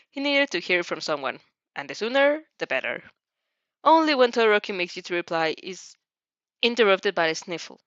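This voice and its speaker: A woman's voice: a little high, a bit bright, no room sound, highs cut off. Woman reading fan fiction aloud, dry sound. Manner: giggly, stumbles over phrases and rereads them. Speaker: fan fiction reader reacting as she reads. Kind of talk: expressive storytelling read-aloud with amused reactions.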